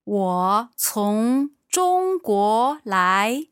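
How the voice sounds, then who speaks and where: A woman teaching Chinese on a podcast, whose first language is Chinese, modeling words clearly for learners. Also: on the high side, sing-song, extremely slow, no echo, studio quality.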